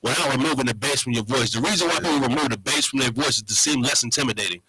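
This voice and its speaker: A man's voice: mid-range voice, very fast, pushed and bright, breathy edge, no room sound, low-quality recording. A man giving opinions in a casual panel talk, emphatic, streetwise and profane. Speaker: panel guest.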